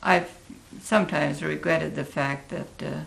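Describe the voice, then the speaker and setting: An elderly American woman in her eighties recalling her life in a reflective interview, halting and thoughtful, with a gravelly, creaky voice. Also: sits low, fairly even intonation, fairly bright tone. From Oregon, United States. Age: 80s. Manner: halting, deliberate, thoughtful pauses. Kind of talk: reflective oral history interview.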